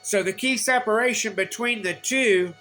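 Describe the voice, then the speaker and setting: A man giving an informal technical explanation from notes, speaking haltingly in short, repeated phrases. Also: high-pitched, bright, forceful tone, soft background music. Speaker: explainer of radio antenna measurements.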